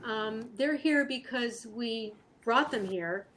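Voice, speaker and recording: A woman speaking as an interview guest, answering casually in an earnest, halting, thoughtful way. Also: a little high, fairly bright tone. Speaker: guest artist and animal rescue volunteer.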